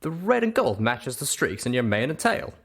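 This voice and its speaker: A man's voice: medium pitch, sing-song, normal speed, fairly bright tone, pitched above the performer's own voice. A man voicing a friendly, easygoing male pegasus pony with a sheepish manner in an audio story. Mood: smitten, sheepish.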